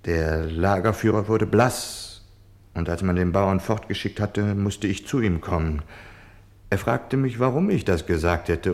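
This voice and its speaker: An actor playing a defiant, self-justifying German man in a radio play, declaiming theatrically between hushed confiding and shouting. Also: lowish voice, reverberant.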